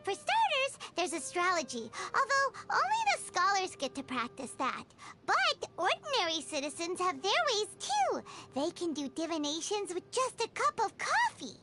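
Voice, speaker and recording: A woman voicing a small, childlike flying companion in a video game, squeaky, bubbly and excitable. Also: normal speed, few pauses, soft background music, exaggerated voice. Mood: curious, amazed. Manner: bubbly, exclamatory, speaks of herself in third person. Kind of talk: scripted video game dialogue.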